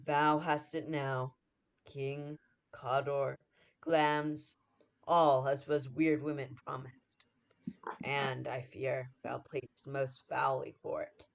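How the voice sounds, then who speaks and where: Muffled, creaky man as wary nobleman. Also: medium pitch, flat delivery, takes it slowly. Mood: suspicious. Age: young adult. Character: wary, suspicious Scottish nobleman, loyal in manner. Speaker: student actor. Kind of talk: 1940s radio play Shakespeare acting. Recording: dull, muffled lo-fi sound.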